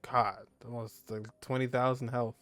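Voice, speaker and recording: A man's voice: medium pitch, flat delivery, unhurried, fairly bright tone. A man giving informal, reactive gameplay commentary, half talking to himself with frequent exclamations. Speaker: gaming streamer.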